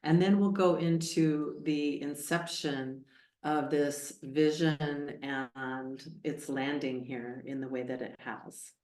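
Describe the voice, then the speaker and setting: A woman hosting a podcast interview, asking her guests measured, warm questions. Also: low-pitched, fairly even intonation. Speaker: podcast host. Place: inside a converted train station building. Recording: echoey room.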